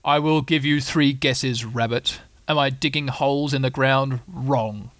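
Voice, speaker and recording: Storybook donkey played by a man: dignified, gloomy, mid-pitched, drawn-out deadpan sarcasm. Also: dry recording, lightly acted. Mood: dry, sarcastic. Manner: slow, deadpan, cold sarcasm.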